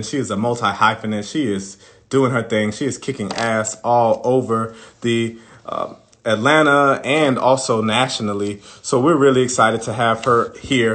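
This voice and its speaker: A man's voice: fairly low pitch, fairly bright tone. Effusive man hosting casually. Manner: informal, effusive, addresses the audience as y'all. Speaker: host of a live online interview show. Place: live video call stream.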